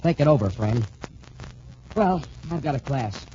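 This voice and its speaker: Casting: man playing skeptical young best pal, slangy and animated, for vintage radio adventure, muffled old recording. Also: mid-range voice, a little slow, slight background noise, natural voice. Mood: wary. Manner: slangy, exclamatory. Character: skeptical, loyal young male student sidekick. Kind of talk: 1940s radio adventure serial acting.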